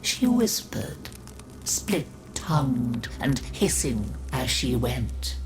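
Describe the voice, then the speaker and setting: A woman narrating a creepy fairy tale for a game, dramatic and often sinking into hushed whispers. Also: rumbling low, very animated, extremely slow, gravelly, over music, exaggerated voice, poor audio. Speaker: storyteller.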